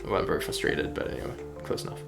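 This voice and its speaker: A man chatting casually on a livestream while he works, rambling and thinking aloud as a game developer. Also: very fast. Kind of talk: casual chat while working on stream. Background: music playing behind the voice.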